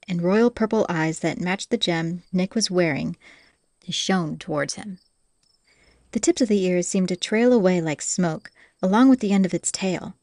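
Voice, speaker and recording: A woman's voice: medium pitch, darkish tone, dry recording, clean studio sound. A woman reading scripted fantasy audiobook narration as the storyteller.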